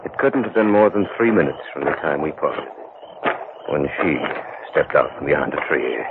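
A man's voice: rumbling low, animated, moderate pace. An actor playing a skeptical, uneasy man who narrates a horror tale in old radio style. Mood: tense narration. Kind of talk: 1940s-50s radio horror acting. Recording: muffled, noisy old radio broadcast.

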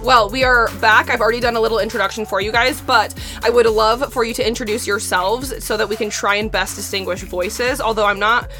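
A woman's voice: on the high side, fairly even intonation, brisk, hardly any pauses, bright, forceful tone, soft background music. A woman hosting a podcast in a casual, chatty way, full of "like" fillers. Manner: chatty, filler-heavy with frequent "like". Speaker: podcast host. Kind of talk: casual interview chat.

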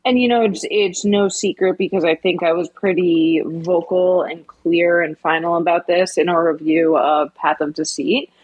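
Husky low woman on a lo-fi podcast. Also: fairly even intonation, darkish tone. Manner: measured, analytical, opinionated. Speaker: podcast co-host. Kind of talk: casual discussion.

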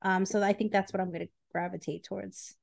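A woman's voice: medium pitch, level tone, fast, creaky, a little mellow. Woman cohosting a podcast, blunt n opinionated, just chatting, acts out who said what. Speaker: podcast co-host. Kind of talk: casual chat.

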